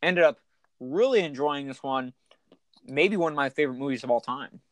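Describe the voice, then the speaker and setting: A man with a raspy voice co-hosting a casual podcast, talking in an enthusiastic, joking, rambling way. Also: mid-range voice, moderate pace, dry recording. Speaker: podcast co-host. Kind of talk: casual chat.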